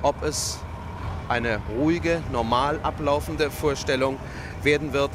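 A man giving an on-location radio news report in measured, separate phrases. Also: on the high side. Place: on location outside a theatre. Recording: loud street noise, traffic behind.

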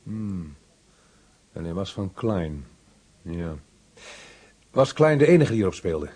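An actor playing a pensive male police inspector who speaks slowly and muses. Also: low voice, sing-song, mellow, slightly rough, exaggerated voice. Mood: musing. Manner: slow, musing.

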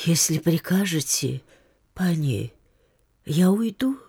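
Slow, husky landlady. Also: lowish voice, animated, warm tone, dry recording, clean studio sound, heavily acted. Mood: subdued, deferential. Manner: halting, rambling, repetitive storytelling. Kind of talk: Russian literary audio drama acting.

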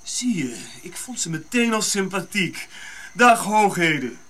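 An actor playing a brusque, scheming Dutch colonial general with a blunt, commanding manner in a radio drama. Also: male voice, high voice, sing-song, measured pace, sharp tone, full character voice. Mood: indignant, loud.

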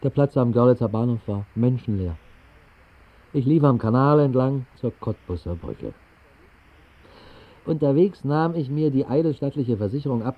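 An actor playing a whimsical night-owl who narrates an absurd dream in a radio play, with ornate, mock-solemn phrasing. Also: male voice, mid-range voice, lightly acted. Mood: wry, deliberate. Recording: muffled, thin 1960s radio recording.

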